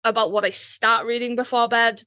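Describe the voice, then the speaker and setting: A woman with a bright, fairly high voice chats casually as a podcast host. She retells things dramatically and mocks herself freely, and the sound is close and dry. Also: fairly even intonation, normal speed, no room sound. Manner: self-mocking, sweary, dramatic retelling. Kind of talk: casual chat.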